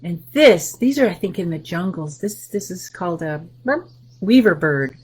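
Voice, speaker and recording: A woman hosting a library story time, reading a picture book aloud to children and explaining the pictures in a gentle voice that often drops to a soft whisper. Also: on the low side, expressive, moderate pace, husky.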